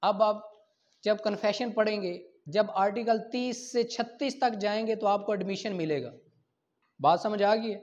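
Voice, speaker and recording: A man's voice: high-pitched, a little slow. A Pakistani man in his thirties lecturing didactically as a teacher in a classroom, posing questions and answering them himself. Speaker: law teacher. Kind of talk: classroom lecture for exam preparation. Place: small indoor classroom at a whiteboard. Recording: dull lo-fi camera sound.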